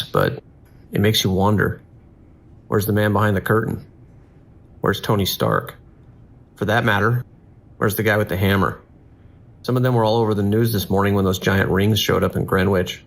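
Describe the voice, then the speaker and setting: A low, gravelly man's voice, an actor playing a weary police dispatcher. He is calm, measured and instructive, in an audio drama style. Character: steady, weary male police emergency dispatcher. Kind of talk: audio drama acting with monologue.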